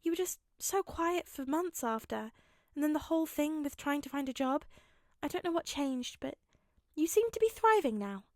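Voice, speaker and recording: A woman voicing a caring, gently fretful mother who dotes on her teenage son in a story reading. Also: sits high, big pitch swings, normal speed, no echo, studio quality, voice pushed up. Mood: tender, relieved. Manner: gentle, doting.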